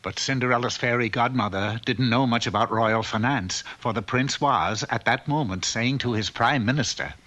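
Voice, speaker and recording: A man's voice: mid-range voice, moderate pace, hardly any pauses, bright, forceful tone. A man playing a droll storybook narrator, reading scripted fairy-tale narration for a cartoon. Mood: wry. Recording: dull lo-fi old cartoon soundtrack.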